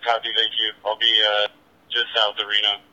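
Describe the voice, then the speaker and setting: A man's voice: fairly low pitch, monotone, moderate pace, pushed and bright. A man working as a police officer, talking in radio traffic over a muffled two-way scanner transmission.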